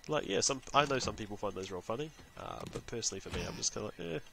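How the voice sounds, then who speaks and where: A man's voice: lowish voice, animated, very fast, gravelly, crowd noise. A man with a New Zealand accent giving rambling, chatty commentary while he plays a game, talking to himself between remarks to viewers. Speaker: gaming channel host. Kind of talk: casual let's-play commentary.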